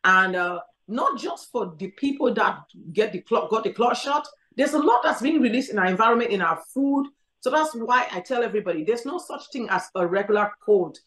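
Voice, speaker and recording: A woman's voice: a little high, animated, a little fast, fairly bright tone, echoey room, low-quality recording. A woman from Cameroon, a doctor answering callers emphatically and repeating her urgings. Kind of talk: call-in advice.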